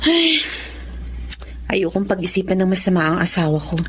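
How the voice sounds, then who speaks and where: A woman's voice: on the low side, very animated, normal speed, a little hiss or hum. An actress playing a trusting, defensive young wife and mother in a Tagalog radio drama.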